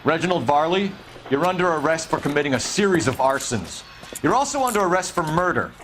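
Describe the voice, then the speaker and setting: An actor playing an earnest, by-the-book police detective with a formal, clipped, authoritative delivery in a video game. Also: male voice, on the high side, big pitch swings, fairly quick, a bit bright, a little hiss or hum, rough recording. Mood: stern, official. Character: earnest, by-the-book young male police detective in 1940s America.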